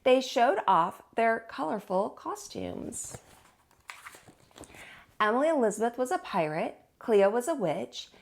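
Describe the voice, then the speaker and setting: A woman hosting storytime, reading aloud to young children with an expressive, teacherly delivery. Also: fairly high pitch, a little slow.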